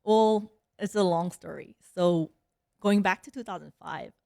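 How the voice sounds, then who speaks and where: A young woman from South Korea speaks as an interview guest in an easygoing, chatty way, full of fillers. Her voice has a slightly gravelly, fry-tinged edge. The recording is dry and close, with no room sound. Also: fairly low pitch, measured pace, a little mellow, no room sound. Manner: chatty, many "like" and "um" fillers, laughs often. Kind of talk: casual interview.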